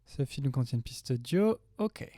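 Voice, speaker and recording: A man's voice: mid-range voice, animated, a little slow, dark tone, dry recording, clean studio sound. A man in his thirties giving a casual, chatty, slangy tutorial as a music production tutor. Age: 30s. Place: small home studio indoors.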